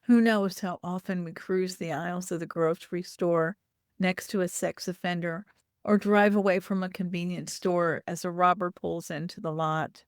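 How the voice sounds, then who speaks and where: A woman reading a first-person literary short story aloud in a measured, deliberate way. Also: fairly low pitch, fairly even intonation, clean tone, no echo, studio quality.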